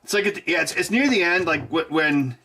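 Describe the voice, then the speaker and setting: A man's voice: fairly high pitch, expressive, fairly quick, sharp tone, low-quality recording. A man hosting a live stream, rambling casually and joking, laughing often.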